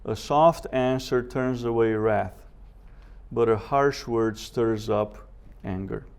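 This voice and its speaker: A man teaching a Bible seminar as a professor, speaking in a measured way with deliberate pauses, scripture quotations and rhetorical questions. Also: mid-range voice, gravelly, darkish tone, clean tone.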